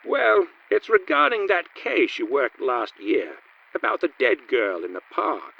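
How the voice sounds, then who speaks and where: A man's voice: mid-range voice, sing-song, a little slow, creaky, slightly rough, highs cut off, heavily acted. Man playing a swooping, prying noir informant. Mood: prying, suspicious. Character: mysterious, prying male informant in noir story. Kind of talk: comic film noir radio play.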